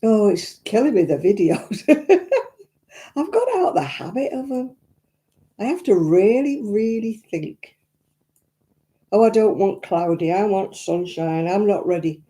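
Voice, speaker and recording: An older woman talks casually to her live viewers, greeting them as she rambles on. Her voice is croaky and very dark and mellow in tone. The audio from her simple mic has a crackle to it. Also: mid-range voice, sing-song, slow pace. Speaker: crafting livestream host. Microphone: own simple mic, live stream.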